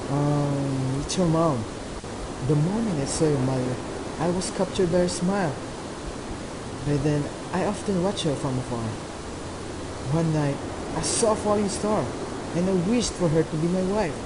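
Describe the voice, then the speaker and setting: A man's voice: a little high, moderate pace, pauses often, natural voice. A man playing a tender father, hissy recording. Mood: wistful. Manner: soft, tender storytelling to his child. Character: loving father, middle-aged man, gentle then angry husband. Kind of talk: amateur student radio drama acting. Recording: noisy amateur recording with hiss.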